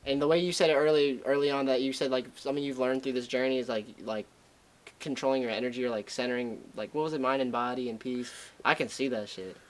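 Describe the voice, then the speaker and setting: A man's voice: medium pitch, fairly even intonation, quick pace, rough recording. A young man co-hosting a casual podcast chat, loose and slangy, swearing freely. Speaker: podcast co-host.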